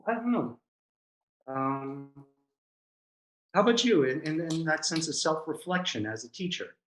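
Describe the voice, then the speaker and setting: A man with a fairly high voice speaks from an echoey room over a video call. He talks in a relaxed, reflective way and often restarts his phrases. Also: measured pace, a little hiss or hum. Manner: relaxed, thoughtful, stammering restarts. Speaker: improv teacher, guest. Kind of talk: casual interview chat.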